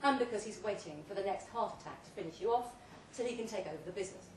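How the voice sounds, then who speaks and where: A woman reads a short story aloud at a live event, delivering it theatrically in measured, deliberate phrases. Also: mid-range voice, expressive. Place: pub event room, read aloud live. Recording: roomy, distant live recording with faint noise.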